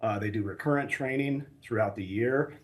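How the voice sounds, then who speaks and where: An American man speaking as an academic expert in a news interview, explaining things in a measured, hesitant way. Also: lowish voice. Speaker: assistant professor of air traffic management, expert guest. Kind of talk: live news interview answers.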